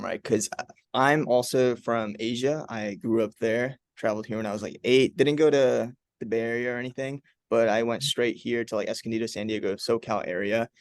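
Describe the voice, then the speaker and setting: A young man from Uzbekistan hosts a relaxed interview, his voice sitting at an ordinary middle pitch. He talks loosely, with lots of "like"s, and the sound is close and dry with no room around it. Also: no echo. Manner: relaxed, filler-heavy, with many "like"s. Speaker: host. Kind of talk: casual interview chat.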